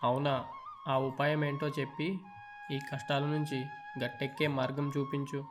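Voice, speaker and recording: A man's voice: medium pitch, level tone, normal speed, faint music behind, lightly acted. A man voicing an earnest, respectful young musician in a children's cartoon story. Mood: hopeful. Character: earnest, troubled young male musician, devoted son.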